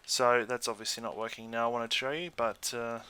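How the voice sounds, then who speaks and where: An Australian man giving casual, chatty let's play commentary in an easygoing way, thinking out loud as he goes. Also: mid-range voice, level tone, fairly quick, slight background noise. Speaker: gaming YouTuber.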